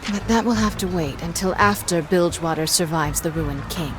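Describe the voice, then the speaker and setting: A woman's voice: on the low side, normal speed, close to an everyday voice. A woman playing a hard-edged pirate captain in a video game, cool, curt and commanding. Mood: cold, menacing. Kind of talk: dramatic video game acting. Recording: clear music behind the voice.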